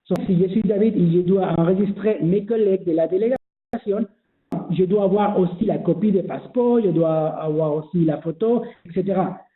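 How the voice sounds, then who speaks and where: A man's voice: sits high, normal speed. A man who is not a native French speaker, presenting a webinar and explaining online registration, hesitant with many fillers and restarts. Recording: echoey phone-like webinar audio.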